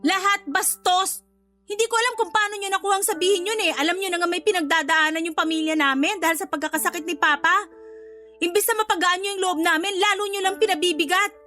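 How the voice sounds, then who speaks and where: Dutiful young Filipino daughter for radio drama: extremely high pitch, earnest, protesting. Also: female voice, fairly even intonation, fast, bright, forceful tone. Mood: indignant. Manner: respectful, earnest, readily protesting. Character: polite but indignant young adult daughter. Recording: music behind the voice.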